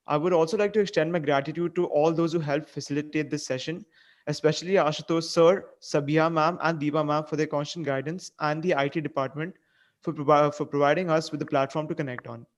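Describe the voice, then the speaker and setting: A young man recites a formal vote of thanks at a quick clip. His voice sits a little high for a man. He is heard over a video call. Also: fairly even intonation. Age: young adult. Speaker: student.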